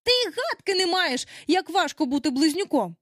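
A woman's voice: very high-pitched, expressive, normal speed, fairly bright tone, dry recording, studio quality, pitched above the performer's own voice. A woman voicing squabbling small boys in a storybook, indignant and whiny, exclaiming insistently. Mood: indignant, whining.

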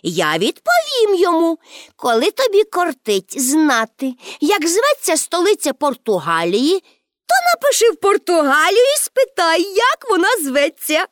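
A woman's voice: very high-pitched, wildly swooping pitch, a little slow, fairly bright tone, no echo, studio quality, pitched above the performer's own voice, heavily acted. A woman voicing a carefree, cheeky nine-year-old girl in a storybook, proud and playful in manner. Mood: cheeky, curious. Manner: playful, breezy, emphatic.

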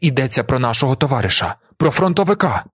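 Young male veteran, acted by a man reader: earnest, declamatory, impassioned plea, animated and husky. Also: mid-range voice, fast talker, no echo, studio quality. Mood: impassioned, bitter. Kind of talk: dramatized audiobook character.

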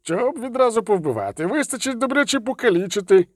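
A man voicing a gruff, mocking dwarf brawler in a fantasy audiobook, blunt, sardonic and threatening. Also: high voice, expressive, quick pace, no room sound, studio quality, pitched above the performer's own voice. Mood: menacing.

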